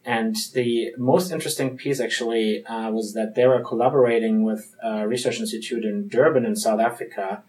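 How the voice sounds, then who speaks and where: A man's voice: mid-range voice, fairly even intonation, moderate pace, few pauses, a little mellow, rough recording. A man from Germany with a German accent, a physician-researcher answering interview questions in a thoughtful, hesitant way with frequent ums and restarts.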